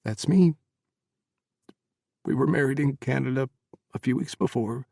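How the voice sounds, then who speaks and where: A man voicing a grieving young widower who pleads and breaks into sobbing shouts. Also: medium pitch, a little slow, vocal fry, no echo, studio quality. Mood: pleading.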